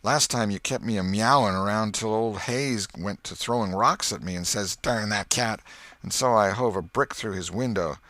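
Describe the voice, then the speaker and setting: A man's voice: fairly low pitch, moderate pace, sharp tone, no room sound, voice pushed up. A man voicing a scrappy, cagey vagabond boy from a storybook, with offhand rustic dialect. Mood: grumbling.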